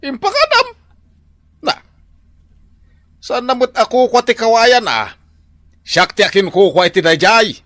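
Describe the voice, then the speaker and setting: An actor playing a grumpy old farmer who scolds and shouts at those who take from his crops. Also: extremely high pitch, big pitch swings, unhurried, pauses often, dry recording, studio quality, heavily acted. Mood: accusing. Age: older. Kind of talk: comic radio drama acting.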